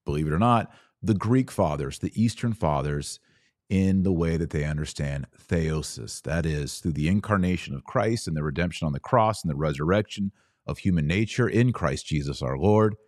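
A man hosting a podcast, giving a religious lecture in an emphatic, didactic manner with dramatic pauses. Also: low-pitched, a little mellow, no room sound, clean studio sound. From Texas. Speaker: podcast host.

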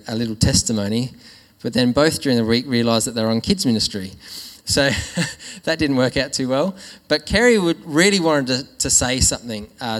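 Pastor preaching in a reverberant church hall. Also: male voice, medium pitch. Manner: warm conversational preaching, with restarts and "actually". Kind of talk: sermon.

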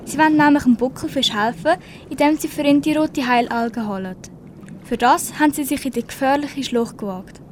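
A woman's voice: high voice, normal speed, a little mellow, slight background noise. A teenage girl reading scripted story narration for an amateur radio play.

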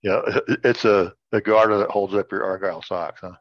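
A man's voice: lowish voice, fairly even intonation, normal speed. A man bantering casually and jokingly as a panelist on a word-guessing segment. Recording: dull lo-fi sound, roomy echo.

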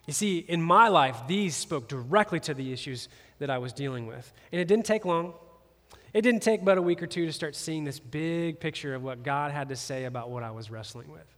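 A man preaching a sermon as campus pastor, animated and conversational, with playful jokes aimed at the congregation. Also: a little high, brisk. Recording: long reverb of a large hall.

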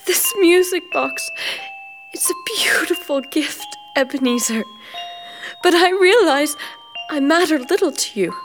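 A woman acting in a radio drama as a heartbroken young fiancée, speaking gently, earnestly and sorrowfully. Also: high voice, unhurried. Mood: hurt. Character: tender, heartbroken young fiancée parting from her love. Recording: long reverb, faint music behind.

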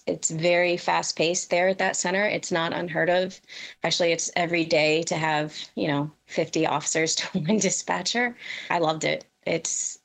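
Middle aged woman, slightly low voice, chatting as an interview guest. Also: vocal fry. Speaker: podcast guest, veteran emergency dispatch professional. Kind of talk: conversational interview answers.

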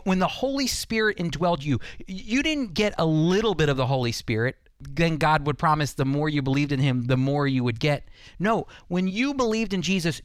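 A man delivers an evangelical teaching in a very animated voice that rises and falls widely. He is emphatic, builds points through repetition and puts questions directly to the listener. The sound is dry and close, and his pitch sits at a slightly higher-than-deep, ordinary male level with lively swings. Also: no room sound. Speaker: Bible teacher hosting a radio program.

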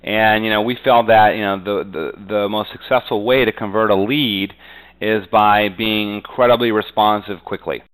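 A man's voice: on the low side, fairly even intonation, normal speed, a bit bright, smooth, rough recording. A man being interviewed as a real estate agent, talking conversationally and confidently, with frequent verbal tags. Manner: frequently punctuates talk with "you know".

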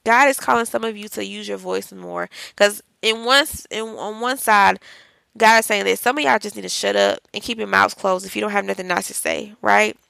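Woman podcast host, bright, gravelly voice, chatty and encouraging, dry close mic. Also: mid-range voice, fairly even intonation, normal speed, dry recording. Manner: chatty and encouraging, addresses listeners as y'all and child. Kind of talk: casual faith-based podcast talk.

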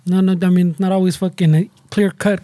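A man's voice: high voice, flat delivery, normal speed, dark tone, no echo. A man joining a casual podcast chat, teasing and switching between Spanish and English.

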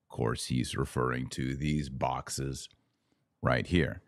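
A man with a low-pitched voice delivers opinionated religious commentary. He takes his time, setting phrases apart and leaning on key words. The sound is close and dry. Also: fairly even intonation, vocal fry, dry recording. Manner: emphatic, deliberate phrasing with pauses and stressed key words. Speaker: podcast host, religious commentator.

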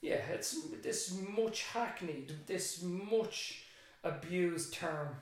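A man's voice: sits high, extremely slow. An Irish man, a secondary school teacher and interview guest, thinking aloud in a reflective, rambling way with frequent restarts. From Ireland. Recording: very echoey room.

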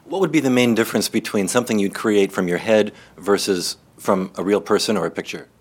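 Male interviewer, fairly low pitch, slightly rough husky tone, conversational questioning. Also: brisk.

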